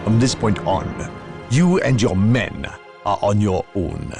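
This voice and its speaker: A cold, imperious inquisitor speaks in a fairly low voice with sweeping intonation. He is formal and authoritarian, in a dramatic game cutscene with faint music behind. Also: animated, takes it slowly, dark tone. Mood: indignant.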